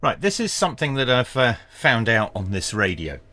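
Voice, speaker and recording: A man giving a hands-on tech review in a measured, matter-of-fact way. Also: medium pitch, very animated, pushed and bright, no room sound. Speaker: product reviewer.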